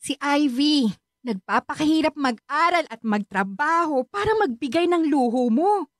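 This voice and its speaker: An actress playing a protective, indignant aunt in a Filipino radio drama, pleading and reproachful. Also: female voice, high voice, lively intonation, moderate pace, no echo, clean studio sound. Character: concerned aunt, indignant younger sister, protective.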